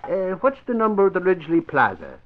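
High-pitched blustering reporter. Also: male voice, huge pitch swings, fairly quick. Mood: giddy. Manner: boastful, blustering, stammering. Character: vain, bumbling, girl-struck Irish newspaper reporter. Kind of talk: old-time radio comedy acting. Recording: muffled old radio recording.

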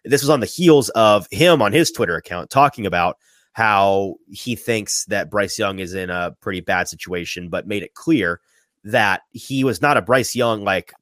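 A man hosting a sports talk podcast, sniffly from a sinus infection, animated and often repeating his first words as he starts a thought. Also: on the low side, dry recording, studio quality. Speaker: podcast host.

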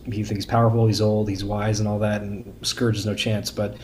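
A man with a fairly low voice talks as a podcast guest, enthusiastic and informal. He often doubles words at the start of a thought, and there is faint noise behind him. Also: level tone, fairly quick, darkish tone. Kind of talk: casual enthusiastic discussion.

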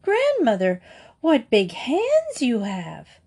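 A woman's voice: mid-range voice, expressive, a little slow, a little mellow, slightly rough. A woman voicing an innocent, trusting little girl in a storybook, sweet and earnest. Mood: wondering. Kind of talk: storybook character voice.

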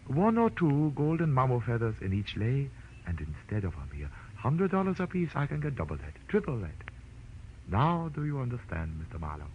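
An actor playing a smug, scheming crime boss in a vintage radio drama, silky and gloating with theatrical politeness. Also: male voice, medium pitch, very animated, moderate pace, close to an everyday voice. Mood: gloating, suave. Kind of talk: 1940s radio drama acting. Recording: dull, noisy vintage radio recording.

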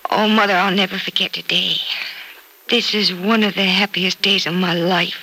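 A woman playing an eager, hopeful, devoted young hospital patient in a classic radio soap opera, with wistful, halting delivery. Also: medium pitch, fairly even intonation, pushed and bright, rough recording. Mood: hopeful, grateful. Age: young adult. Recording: old radio recording with faint noise.

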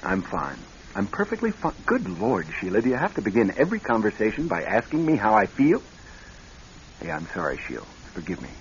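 A haunted, edgy husband in a radio play, low in pitch but very animated. He sounds troubled and strained, moving between subdued and snappish, on a muffled recording. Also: brisk, a little hiss or hum. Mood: edgy, defensive. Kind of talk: radio drama acting.

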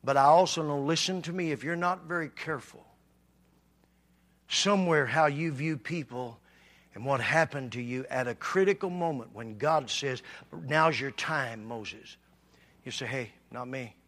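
A man preaching a sermon, swinging from quiet talk to loud, shouted passages. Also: medium pitch, measured pace. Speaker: pastor.

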